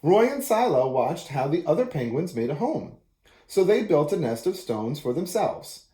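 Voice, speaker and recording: A man reads a children's picture book aloud in an expressive storytelling manner. His voice is fairly high and warm, with a mellow tone. The recording has a noticeable roomy echo. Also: moderate pace. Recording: echoey room.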